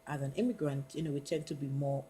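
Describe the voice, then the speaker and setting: A woman's voice: rumbling low, steady tone, fast talker, dark tone, slight background noise. A middle-aged woman from the Caribbean, chatting casually and leaning on "you know" fillers as she interviews her partner. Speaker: student interviewer. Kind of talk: casual conversation.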